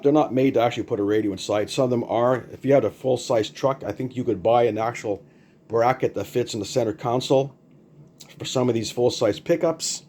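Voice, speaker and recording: An older man with a relaxed, mellow voice talks casually through a homemade gadget. He rambles a little, doubles back on his words and keeps it plain-spoken. The amateur recording carries some faint background noise. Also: on the low side. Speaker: hobbyist demonstrating a homemade radio mount. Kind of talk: casual unscripted show-and-tell.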